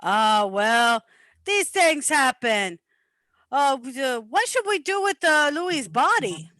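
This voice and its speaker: A chatty, deferential mob henchman played by a woman in a radio comedy play. The voice is high and bright with very lively pitch, rambling and placating, in a dry-sounding recording. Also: slow, no echo, full character voice. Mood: casual. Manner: rambling, hesitant, placating wiseguy patter. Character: talkative, deferential male mobster sidekick. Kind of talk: amateur old-time radio comedy play.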